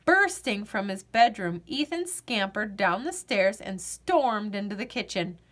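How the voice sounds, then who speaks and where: A woman reading a children's picture book aloud in an expressive storytelling manner. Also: medium pitch, measured pace.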